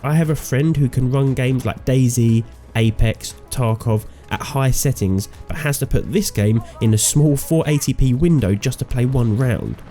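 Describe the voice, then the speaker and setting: A man's voice: medium pitch, unhurried, hardly any pauses, dark tone, soft background music. A man with a cold and a slightly nasal voice, reading a scripted, opinionated game review as host. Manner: opinionated, sardonic. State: sick, nasal voice. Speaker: gaming video host.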